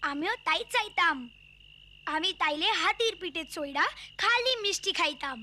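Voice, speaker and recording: A woman's voice: extremely high pitch, expressive, normal speed, background noise. A woman voicing an eager, dreamy young child from a village in a cartoon, with a rural Bangladeshi accent. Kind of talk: cartoon voice acting.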